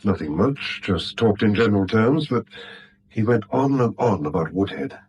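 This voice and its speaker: An actor playing an uneasy, subdued English husband and bank manager in a 1970s radio drama. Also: male voice, lowish voice, animated, moderate pace, a little mellow, husky, dull audio. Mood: worried.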